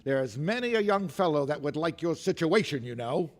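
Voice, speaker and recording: A man's voice: on the high side, big pitch swings, normal speed. An actor playing a grumpy, miserly older businessman, curt and scornful, in an old-time radio drama style. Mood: scornful, scolding.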